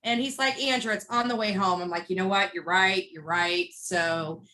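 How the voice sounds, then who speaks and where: A woman with a bright, forward voice recounts things as an interview guest. She is blunt and emphatic, narrating in casual speech and slipping into quoted dialogue. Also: mid-range voice, moderate pace. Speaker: interview guest, street protester. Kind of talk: animated casual storytelling.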